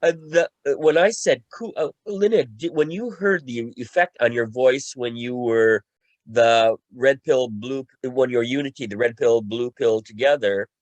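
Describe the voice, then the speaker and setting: A man's voice: mid-range voice, unhurried, no room sound. Light tenor man, dry close sound. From United States. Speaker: voice actor in the radio play. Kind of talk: casual panel discussion.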